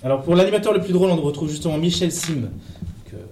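A man with a slightly high-pitched voice presents his segment casually, feeling his way through phrases. The room echoes around him and there is faint noise behind. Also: fast talker, a little mellow. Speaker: radio show columnist. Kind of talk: casual chronicle presentation with banter. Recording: roomy echo, faint background noise.

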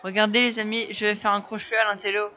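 A woman's voice: mid-range voice, flat delivery, quick pace, bright, forceful tone, muffled recording, lightly acted. A girl acting a mocking, menacing teenage school bully in an audio drama, with a taunting manner. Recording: noisy recording, music and chatter behind.

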